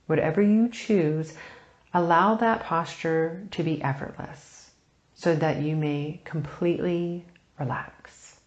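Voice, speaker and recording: A woman leading a guided meditation, speaking softly and soothingly in unhurried phrases with long pauses, turning breathy at phrase ends. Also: sits low, steady tone, roomy sound, lo-fi sound. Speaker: meditation teacher.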